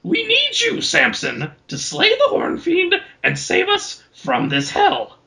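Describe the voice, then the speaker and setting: A withered old troll chief, pitched far up into a raspy cartoon squeak. He is eccentric and oddly cheerful, with a sing-song, nonchalant delivery fit for a fantasy story. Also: wildly swooping pitch, slow, pushed and bright, heavily acted. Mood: cheerfully grave.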